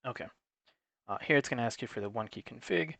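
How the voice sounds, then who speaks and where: A man's voice: mid-range voice, animated, fast talker, vocal fry, a bit bright, dry recording. A man giving an unscripted, matter-of-fact, step-by-step tutorial in an instructional way. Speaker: technical support presenter.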